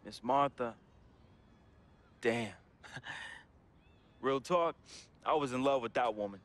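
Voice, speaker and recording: A man's voice: medium pitch, slow, fairly bright tone. A heartfelt, troubled young man. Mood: somber. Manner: heartfelt, confessional, casual slang. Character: troubled young man, a friend writing a farewell letter. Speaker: game character narrating a farewell letter. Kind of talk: emotional voice-over monologue. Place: studio voice-over.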